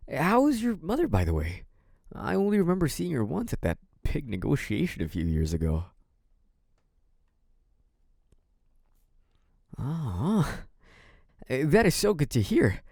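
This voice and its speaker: A man's voice: on the high side, huge pitch swings, unhurried, gravelly, a little mellow, dry recording, clean studio sound, full character voice. A man playing a young mafia heir in an audio roleplay, a cunning schemer who wears politeness as a mask. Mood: polite, probing. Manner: hesitant and apologetic act, turning smug and taunting. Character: young mafia heir, feigned naive politeness hiding cunning, possessive menace. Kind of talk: dramatic first-person audio roleplay.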